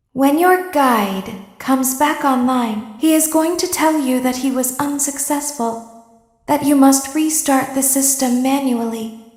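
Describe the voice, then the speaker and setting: A woman voicing a calm, eerie robot clown. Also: high voice. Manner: measured, calm, cryptic. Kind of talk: fan voice acting of a game. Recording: echoey with long reverb.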